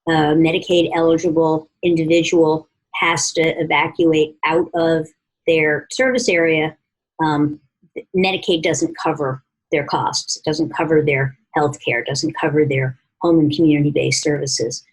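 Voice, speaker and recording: A woman with a low, husky voice speaks as an advocate fielding questions at a press conference. She talks in formal policy language, stopping often and feeling her way through each phrase. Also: steady tone, poor audio. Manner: halting, heavily hesitant, formal policy phrasing.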